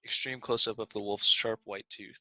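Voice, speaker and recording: Male script reader, low-ish and very sharp voice, shot directions, dull call audio. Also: fairly even intonation, normal speed, dry recording. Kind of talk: scripted screenplay read-aloud.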